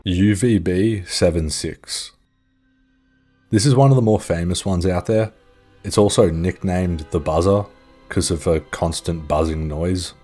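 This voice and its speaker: A man's voice: low voice, measured pace, dark tone. A man narrating an explainer in a casual, informal and offhand way. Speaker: video host and narrator. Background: music.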